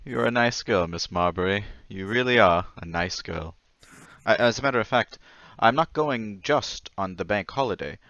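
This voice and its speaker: A man acts out a meek, odd lodger in a radio play. His low voice swings widely in pitch and sits dry and close. He stammers and hesitates, nervous and a little unsettling. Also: expressive, normal speed, fairly bright tone, no room sound, highs cut off. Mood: flustered, secretive. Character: timid, eccentric, stammering war-veteran lodger, unsettlingly cheerful. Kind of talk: old-time radio drama acting.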